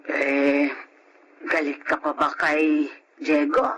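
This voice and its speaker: A woman's voice: sits low, flat delivery, slow pace, fairly bright tone, lo-fi sound, a little hiss or hum. An actress playing a caring, grave older Filipino mother in a radio drama, speaking with a Filipino accent.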